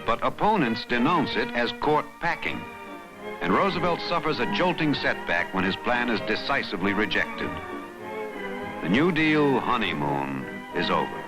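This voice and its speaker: Dramatic American documentary narrator over music. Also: male voice, mid-range voice, big pitch swings, normal speed. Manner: dramatic, emphatic phrasing. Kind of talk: scripted newsreel-style documentary narration. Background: background music.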